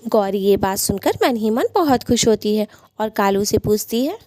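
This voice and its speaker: A woman's voice: mid-range voice, animated, normal speed, a little mellow, no echo. A woman narrating a scripted cartoon story as the storyteller.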